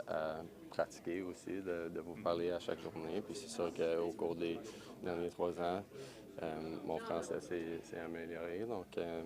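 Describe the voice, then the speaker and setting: Deep, flat, gravelly hockey player. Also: male voice. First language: English. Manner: halting, repeats words, many fillers. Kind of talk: press scrum answers.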